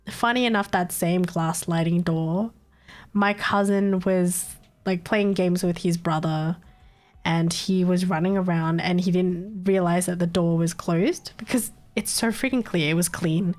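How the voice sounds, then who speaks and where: A woman streamer chatting casually late at night, a little nasal from a cold, rambling and laughing often. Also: fairly low pitch. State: recovering from a cold, slightly nasal.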